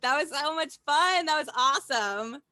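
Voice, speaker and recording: A woman chatting casually on a livestream as a game player, gushing and excitable. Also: high voice, moderate pace, crowd noise.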